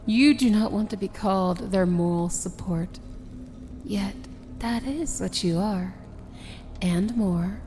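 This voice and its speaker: Casting: female actor as proud, tender villain princess for ASMR fantasy roleplay; unhurried, theatrical, intimate delivery. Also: medium pitch, slight background noise. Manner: theatrical, deliberate, often dropping to a hushed whisper. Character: proud, tender princess of evil, wry and regal.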